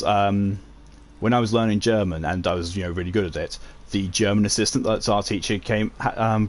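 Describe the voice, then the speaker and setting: A man casually chatting while streaming a video game, rambling and often chuckling as he answers chat. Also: lowish voice. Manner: reads and answers chat messages while playing.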